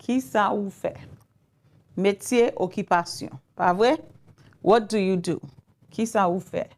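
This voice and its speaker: A woman with a Haitian Creole accent teaching English in a live online lesson, speaking slowly and repeating phrases as she writes them out. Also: mid-range voice, darkish tone. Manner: slow, repeats phrases while writing them out.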